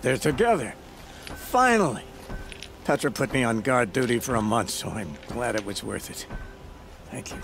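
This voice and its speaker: A grumbling, good-natured guard in game dialogue. His medium-pitched voice is very animated and exasperated, with music clearly behind it. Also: normal speed, long pauses. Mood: relieved, grumbling. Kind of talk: video game dialogue acting.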